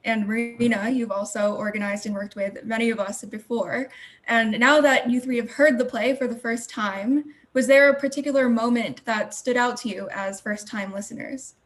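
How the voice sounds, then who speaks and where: A woman's voice: fairly high pitch, fairly even intonation, normal speed. Woman moderating an online panel. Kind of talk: hosting an online panel discussion. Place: video call.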